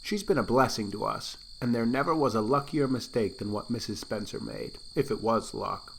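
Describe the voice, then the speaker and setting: A man's voice: lowish voice, sing-song, moderate pace, creaky, a bit bright, slight background noise, exaggerated voice, rough recording. A man playing a shy, tender old farmer, muttering in folksy, plain speech in an audiobook drama. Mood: proud, tender.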